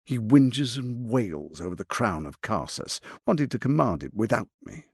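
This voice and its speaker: An actor playing a suave, ambitious, scheming lord in a video game, speaking in a theatrical, articulate and condescending way. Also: male voice, medium pitch, sing-song, normal speed, a little mellow, husky, no room sound, clean studio sound. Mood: cold, condescending. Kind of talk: dramatic video game voice acting.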